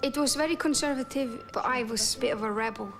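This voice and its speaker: A woman's voice: a little high, fast talker, music in the background. A woman with an Icelandic accent, talking reflectively in a documentary interview and often restarting and repeating words as she searches for her thoughts.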